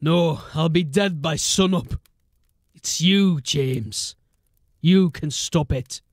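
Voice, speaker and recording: An actor playing a dying old gang boss in a fantasy audio drama, gruff and sardonic, weak with pain and coughing. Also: male voice, on the high side, takes it slowly, dry recording, clean studio sound. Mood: bitter, urgent. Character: dying old male gang boss, defiant, bitter, foul-mouthed.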